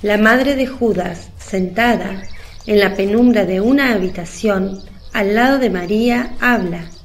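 A woman narrating a scripted religious radio drama in a measured, solemn manner. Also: medium pitch, slight background noise.

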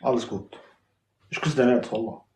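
A man's voice: mid-range voice, normal speed, a little mellow, roomy sound. A man in his twenties on a phone livestream, bantering in street slang and swearing "Wallah" at nearly every turn. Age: 20s. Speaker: livestream guest.

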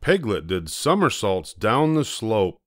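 An older man narrating a children's story expressively, with deliberate pauses for effect. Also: on the low side, very animated, no echo, studio quality.

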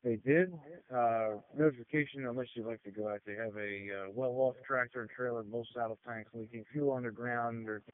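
A man giving a halting, informal briefing over two-way radio. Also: medium pitch, fairly even intonation, noisy background. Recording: tinny faint radio transmission.

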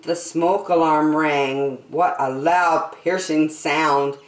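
Slow, low-voiced woman reading to children, roomy. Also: fairly even intonation. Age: middle-aged. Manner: deliberate, drawn-out storytelling with emphatic words. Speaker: children's story time host. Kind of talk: expressive read-aloud of a rhyming picture book for children. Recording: roomy indoor echo.

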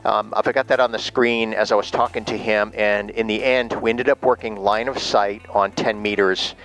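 A man's voice: fairly low pitch, fairly even intonation, normal speed, a bit bright, low-quality recording. A man narrating from his home radio room in a casual, upbeat way with many filler words. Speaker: ham radio operator and private pilot. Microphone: GoPro camera on the desk.

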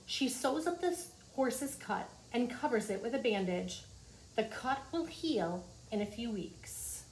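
A woman's voice: fairly high pitch, expressive, unhurried, a little mellow. Woman reading aloud, noticeable background noise. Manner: addresses young listeners with questions and simple explanations. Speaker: teacher reading aloud to children. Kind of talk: children's nonfiction book read-aloud with asides. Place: small indoor office. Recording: clearly noisy room ambience.

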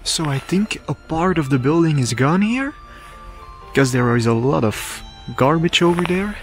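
An enthusiastic man talking his way around an abandoned villa in the woods, his voice sitting a little high. He rambles easily with fillers, recorded outdoors on a small handheld camera with clear music playing under him. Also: animated, unhurried. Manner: chatty, enthusiastic, many fillers. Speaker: urban explorer vlogger. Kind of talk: casual walkthrough commentary. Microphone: handheld camera mic.

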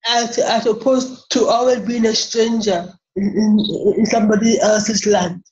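A woman's voice: mid-range voice, fairly even intonation, a little mellow. Ghanaian woman in her 40s, stops and repeats words a lot, webcam in an office, kinda dull lo-fi sound. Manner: halting, repeats words, modest. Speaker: interview guest, software engineer. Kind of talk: casual interview talk. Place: small office indoors. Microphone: laptop webcam on a video call. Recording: muffled video call audio.

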